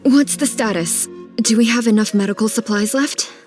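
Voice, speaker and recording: A woman's voice: fairly high pitch, normal speed, a little mellow, faint music behind, lightly acted. A woman voicing a proud, resolute mother tending the wounded in a video game, earnest and firm. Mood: urgent.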